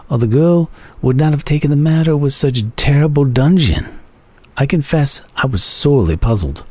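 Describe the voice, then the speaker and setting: Male narrator, mellow dark tone, husky, dramatic storybook reading, expressive measured phrasing. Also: medium pitch, no room sound. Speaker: reader of a classic short story. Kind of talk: dramatic audiobook narration of old-fashioned first-person prose.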